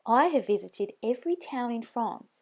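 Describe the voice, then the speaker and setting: A woman's voice: fairly high pitch, animated, normal speed. A woman voicing a friendly, adventurous young woman inventor in a storybook, keen and chatty.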